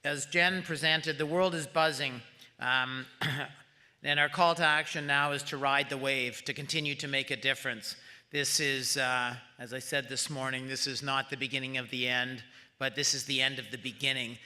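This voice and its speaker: Bright, sharp-voiced man addressing a conference. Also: mid-range voice, steady tone. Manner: hesitant, halting, rallying the audience. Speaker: summit host giving closing remarks. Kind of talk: public closing speech.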